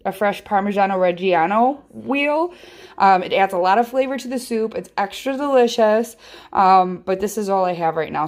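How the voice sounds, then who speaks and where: A woman hosting a casual home cooking tutorial from her kitchen, rambling and chatty with lots of ums, filmed on a handheld phone. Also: mid-range voice. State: feels her voice sounds off. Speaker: home cooking channel host.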